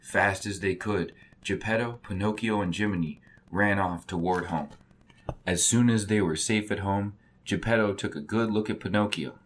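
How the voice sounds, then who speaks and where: A man's voice: fairly low pitch, normal speed. A man narrating a children's story as a storybook reader.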